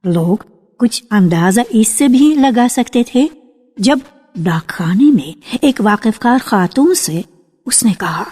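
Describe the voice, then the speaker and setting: A woman narrating a literary story for radio in a measured storytelling manner. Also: a little high, expressive, dark tone, slightly rough. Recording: reverberant archival radio recording with music.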